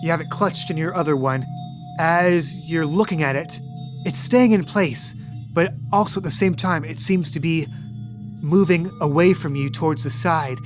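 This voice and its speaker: A game master narrating a tabletop game, describing eerie dream scenes in a calm, measured way. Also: medium pitch, over music.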